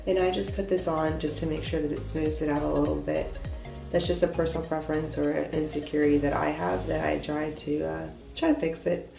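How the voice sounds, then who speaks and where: A woman's voice: sits low, creaky. A young woman with a congested, stuffy voice, chatting casually through a makeup tutorial and explaining each step in a self-deprecating way. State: congested, voice lower than usual. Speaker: beauty vlogger. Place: hotel bathroom. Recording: echoey bathroom from off-mic, faint music.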